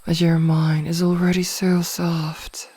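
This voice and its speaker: A low, velvety-dark female mage played by a woman in a hypnotic ASMR fantasy roleplay, possessive and smugly sweet. She takes her time with every phrase, coaxing and often whispering, very close to the microphone. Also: little pitch movement, slow, breathy edge, dry recording. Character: possessive, smugly teasing adult female mage toying with a captive burglar. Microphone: close microphone, dry.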